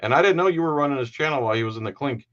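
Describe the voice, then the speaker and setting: A man in his forties, a guest on a casual call, cracking jokes with deadpan asides. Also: medium pitch, rapid-fire, lo-fi sound. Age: 40s. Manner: joking, wisecracking, deadpan asides. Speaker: guest commentator. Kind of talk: casual joking chat.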